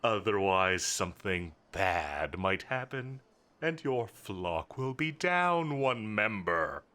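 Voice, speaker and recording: A man's voice: lowish voice, sing-song, slow, sharp tone, full character voice. A man playing a smug, menacing villain boss in an audio roleplay, with a theatrical, mocking, condescending delivery. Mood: smug, taunting. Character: smug, menacing male supervillain boss toying with his enemy.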